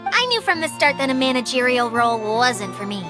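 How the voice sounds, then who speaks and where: An actress voicing a bubbly, earnest young female helper in game dialogue, perky and expressive. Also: on the high side, a little fast, music in the background. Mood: friendly, chatty. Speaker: voice actor.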